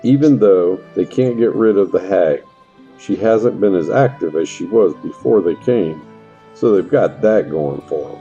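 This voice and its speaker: A man's voice: low-pitched, measured pace, dark tone, highs cut off, faint music behind. A man playing a folksy, grumbling middle-aged farmer in a fantasy audio drama, fed up and colloquial. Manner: folksy, colloquial grumbling with mild country oaths.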